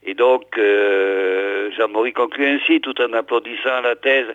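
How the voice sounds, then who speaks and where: A hoarse man hosting a regional radio show, hesitantly introducing and reading out old press articles with many fillers and restarts. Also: mid-range voice, little pitch movement, measured pace, no echo. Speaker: radio host. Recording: tinny phone-like radio sound, dry.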